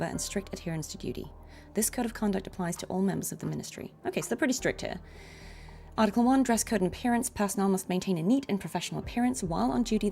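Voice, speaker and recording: A woman's voice: mid-range voice, creaky, a little mellow. A woman live-streaming a video game with casual commentary, chatty and thinking aloud as she plays. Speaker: streamer, voice actress. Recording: game music behind the voice. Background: video game music.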